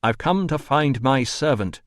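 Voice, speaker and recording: A man's voice: medium pitch, animated, unhurried, no echo, clean studio sound, pitched above the performer's own voice, natural voice. A man voicing an insistent army doctor in an audiobook, with a clipped, authoritative manner. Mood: firm, irritated. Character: urgent, commanding male army doctor. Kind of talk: audiobook character voice.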